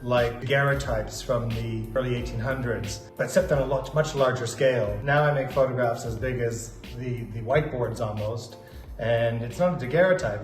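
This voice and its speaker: Chatty, enthusiastic man, roomy sound. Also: mid-range voice. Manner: enthusiastic, laughs easily, chatty. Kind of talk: recorded assembly talk. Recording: roomy sound with faint music.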